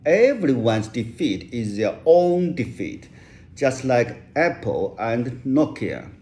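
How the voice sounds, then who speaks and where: Slow, careful man. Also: medium pitch, big pitch swings, dark tone. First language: Chinese. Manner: slow, careful delivery with occasional restarts. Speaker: author giving a lecture. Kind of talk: rehearsed English lecture.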